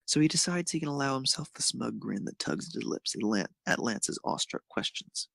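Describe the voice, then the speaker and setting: A man's voice: mid-range voice, expressive, brisk, vocal fry, fairly bright tone, no echo, clean studio sound. A man reading scripted narration of a romantic fan fiction story.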